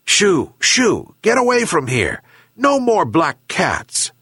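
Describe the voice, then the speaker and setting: A man's voice: high voice, big pitch swings, measured pace, a bit bright, slightly rough, dry recording, clean studio sound, voice pushed up, exaggerated voice. A man playing a poor old fisherman in a storybook tale, emotional, exclamatory and pleading. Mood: furious.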